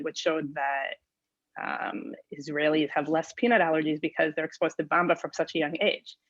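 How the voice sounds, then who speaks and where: A woman in her thirties from New York talks through her story in a chatty way, with plenty of ums. Her voice is very low and gravelly. The sound is dry and close but dull, like a video call. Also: no echo. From New York, USA. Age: 30s. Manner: chatty, with many ums and likes. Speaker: presenter and nonprofit volunteer. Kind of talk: personal presentation.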